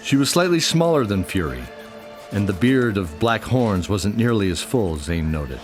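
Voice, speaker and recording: A man's voice: lowish voice, very animated, normal speed. A man narrating a scripted fantasy radio drama as its storyteller, with music underneath.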